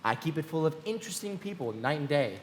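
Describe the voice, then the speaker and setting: A man acting in a live radio play as a wealthy, lovestruck young gentleman who is anxious and boastful, speaking in a genteel theatrical way. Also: fairly high pitch, big pitch swings, fast, slight background noise. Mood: proud, genteel.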